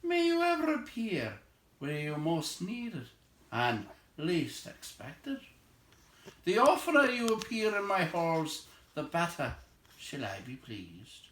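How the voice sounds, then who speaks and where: A man's voice: a little high, huge pitch swings, takes it slowly, a bit bright, roomy sound, pitched above the performer's own voice, full character voice. A man voicing a stately, gracious elven king from a storybook in a grave, ceremonious manner. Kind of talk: storybook character voice.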